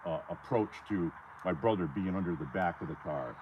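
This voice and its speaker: A man's voice: low-pitched, moderate pace, muffled recording. A man outdoors on a wooded ridge, earnestly telling the story of his encounter, rambling and restarting as he goes. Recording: outdoor noise.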